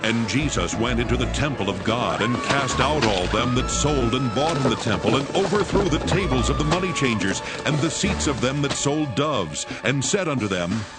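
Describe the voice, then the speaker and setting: A man's voice: mid-range voice, lively intonation, normal speed, few pauses. A man narrating scripture from a script in a formal, dramatic storytelling manner. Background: prominent background music.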